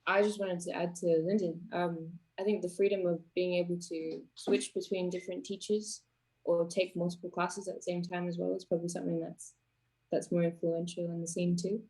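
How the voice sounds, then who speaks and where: A woman on a panel speaks in a fairly low voice. She sounds thoughtful and measured as she adds her view. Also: little pitch movement, dark tone, slightly rough, low-quality recording. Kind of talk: casual panel chat.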